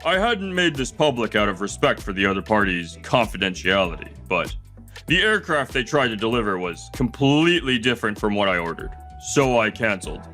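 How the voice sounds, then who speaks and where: A man acts out a scheming corporate boss in a manga dub, desperate under a slick surface. His voice is forceful and bright, swinging widely in pitch, with music behind it. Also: sing-song, a little fast. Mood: smug lying. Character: scheming, panicky male CEO lying smoothly to investors.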